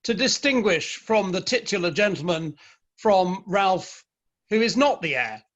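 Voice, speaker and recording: A man's voice: high-pitched, lively intonation, unhurried, a bit bright, muffled recording. A man in an online play reading, playing a modest, wry middle-aged Victorian novelist at his club. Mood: wry self-deprecation.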